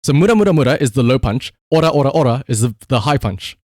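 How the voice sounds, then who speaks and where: A man's voice: mid-range voice, expressive, fast talker, a little mellow, dry recording, studio quality. A man doing casual live game-stream commentary, swearing freely and repeating short command words.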